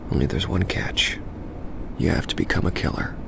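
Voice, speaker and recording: A man's voice: normal speed, noisy background, close to an everyday voice. An actor playing a cynical, cocky young male assassin who narrates a video game in a crude, sardonic way, speaking straight to the listener. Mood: cocky, taunting. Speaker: narrator and protagonist. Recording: loud music over the voice.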